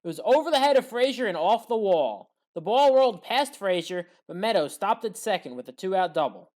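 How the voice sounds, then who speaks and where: A woman's voice: medium pitch, very animated, fairly quick, darkish tone. A woman commentator reading a scripted play-by-play sports recap in a factual, orderly way.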